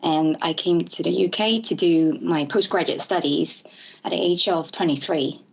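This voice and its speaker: A woman from Malaysia in her thirties, a guest in an interview, who talks in a halting way with many fillers and restarts. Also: fairly low pitch, creaky, slightly rough. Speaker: interview guest, ADHD advocate. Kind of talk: conversational interview. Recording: dull lo-fi audio.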